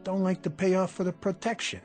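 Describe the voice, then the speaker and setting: A man's voice: high-pitched, steady tone, a little fast, darkish tone, soft background music, close to an everyday voice. An actor playing a menacing, mocking gangster boss with streetwise tough-guy talk in a radio drama. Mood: coldly menacing. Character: menacing, sneering male gangster boss bullying his underling.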